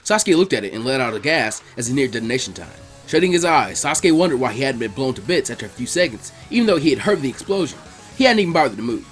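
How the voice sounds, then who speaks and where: A man narrating fan fiction aloud in an expressive, scripted storytelling style. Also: mid-range voice, fast, faint music behind.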